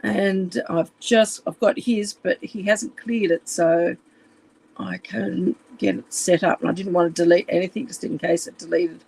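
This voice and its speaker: Croaky woman chatting on a live stream. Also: on the low side, steady tone, measured pace, darkish tone, low-quality recording. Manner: chatty and apologetic while fixing her camera. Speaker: live stream craft demonstrator. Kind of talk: casual live stream chat. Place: room at home. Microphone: phone camera.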